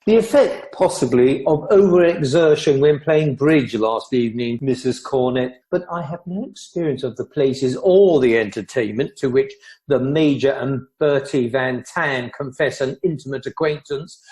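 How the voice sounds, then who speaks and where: A man acting a witty, teasing society gentleman in an amateur radio play, with a dry, formal delivery. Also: mid-range voice, moderate pace, natural voice. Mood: droll.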